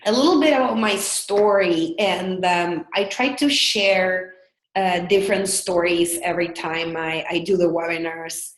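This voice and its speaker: A woman's voice: fairly low pitch, measured pace, echoey room, low-quality recording. Salvadoran woman presenting a business webinar. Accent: Salvadoran Spanish. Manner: earnest, candid, with frequent fillers. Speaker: business consultant.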